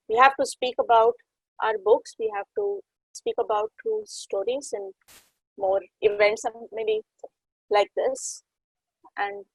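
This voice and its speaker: A woman panelist speaks with an animated delivery full of sharp pitch leaps. Her fairly high voice is gravelly and husky. The sound is dry and close. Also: no echo, poor audio. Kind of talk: panel discussion.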